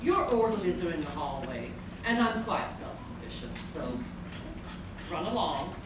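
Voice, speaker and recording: A woman's voice: on the low side, sing-song, measured pace. A woman playing an imperious, secretive schemer with a haughty, commanding manner in a live radio play. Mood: smooth, evasive. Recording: echoey hall from far off, noisy.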